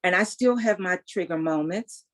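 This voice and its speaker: A woman's voice: fairly low pitch, moderate pace. A woman in her sixties, a guest telling her story in an emphatic, faith-filled way. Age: 60s. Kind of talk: casual interview, testimony.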